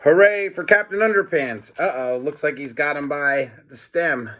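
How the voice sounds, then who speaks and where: A man's voice: on the high side, moderate pace, pushed and bright. A man reading a children's book aloud in a playful, dramatic manner, adding his own comments. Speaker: storybook reader.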